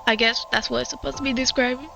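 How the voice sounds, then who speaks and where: A woman's voice: high-pitched, steady tone, fast, gravelly, pushed and bright, highs cut off. A young woman from Puerto Rico with a Puerto Rican Spanish accent, a native Spanish speaker, rambling casually through game commentary with lots of fillers. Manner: rambling, many fillers and restarts. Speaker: game streamer. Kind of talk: casual let's-play commentary. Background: game music.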